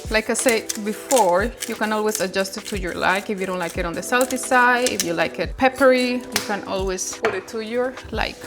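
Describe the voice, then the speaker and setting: A woman's voice: mid-range voice, a little fast, hardly any pauses, music in the background. Chatty Colombian woman hosting a cooking video. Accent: Colombian Spanish. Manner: warm, chatty, guiding viewers step by step. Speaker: cooking channel host. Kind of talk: cooking vlog demonstration. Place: home kitchen.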